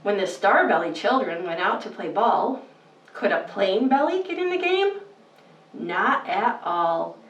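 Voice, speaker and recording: A woman reading a bedtime story to young children with exaggerated, sing-song emphasis. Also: medium pitch. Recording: roomy, distant microphone.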